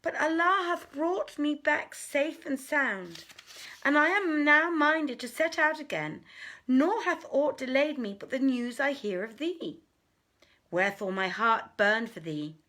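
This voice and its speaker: A woman's voice: a little high, sharp tone, heavily acted. A woman voicing a devoted young male traveller from an old tale, speaking formally and ardently in archaic phrases.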